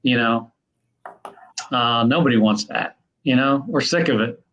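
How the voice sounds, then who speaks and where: A man's voice: mid-range voice, measured pace, a bit bright, highs cut off, slight background noise. Easygoing man in his mid-fifties. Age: 50s. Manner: easygoing, emphatic. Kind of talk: casual chat.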